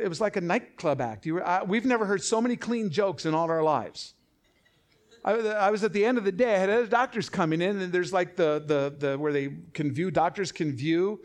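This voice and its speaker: A pastor telling a funny personal story in a sermon, animated and breathless as he acts out others. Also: high voice.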